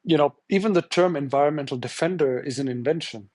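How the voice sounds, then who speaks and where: A man's voice: on the high side, fast talker, a little mellow, no room sound, clean studio sound. A man from India whose first language is Tamil, a journalist answering interview questions in a thoughtful, searching way with many restarts. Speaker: journalist guest.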